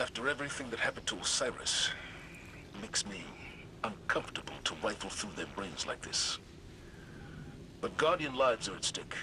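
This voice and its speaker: An actor playing a composed, earnest military commander, speaking in a formal, measured way in a game briefing. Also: male voice, medium pitch, noisy background, lightly acted. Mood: uneasy. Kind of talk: video game mission briefing.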